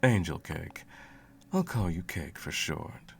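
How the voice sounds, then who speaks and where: A sadistic sorcerer, played by a man, murmurs in a very low, creaky voice. He draws his words out slowly, doting on his captive pet with theatrical, condescending sweetness. It is an ASMR roleplay with clear music underneath. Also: very animated, full character voice. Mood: gloating affection. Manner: teasing, cooing, condescending, theatrical.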